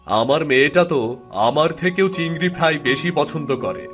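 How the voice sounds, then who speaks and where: This is a man acting an easygoing village father in a moral-story cartoon. He speaks in a fairly high male voice, indulgent and casually waving off worries, over faint music. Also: lively intonation, brisk, a bit bright. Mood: cheerful.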